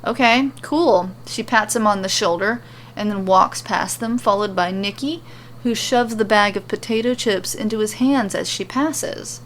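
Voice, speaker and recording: A woman's voice: medium pitch, normal speed, a bit bright. A woman narrating a serial fan-fiction story as its host. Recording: clearly noisy recording.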